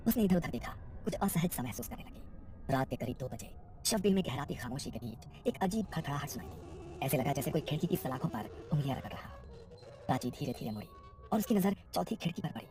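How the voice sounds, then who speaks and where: A woman with a very deep, dark voice narrates a scripted horror story. She moves along briskly, with a faint noise behind her. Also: big pitch swings, faint music behind.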